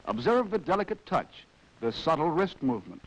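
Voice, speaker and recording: Animated American man, vintage newsreel-style narrator. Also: on the high side, moderate pace, low-quality recording. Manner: wry, arch, theatrical phrasing. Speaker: narrator of a military training film. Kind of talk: scripted 1940s documentary narration. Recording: vintage 1940s film soundtrack.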